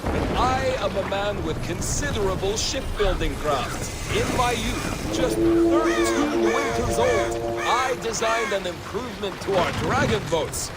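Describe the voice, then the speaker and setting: A man's voice: high voice, expressive, a little slow, hardly any pauses, over loud music. An actor playing a proud, self-important Norse shipbuilder telling tales of his own genius in a video game. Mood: boastful. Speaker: game character. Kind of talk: theatrical storytelling game dialogue.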